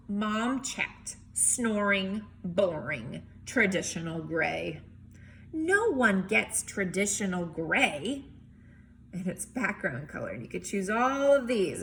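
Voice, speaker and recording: Expressive woman reading aloud, bright voice. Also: mid-range voice, a little hiss or hum. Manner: animated, emphatic reading with chatty commentary. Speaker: teacher or reader reading a picture book aloud. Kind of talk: expressive storybook read-aloud with casual asides to children.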